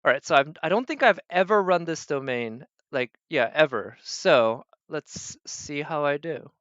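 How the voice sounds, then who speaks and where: A man's voice: a little high, lively intonation, measured pace, a bit bright, clear, resonant tone, no echo, muffled recording. A man doing casual live game commentary, thinking aloud and trailing off as he goes. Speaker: game streamer.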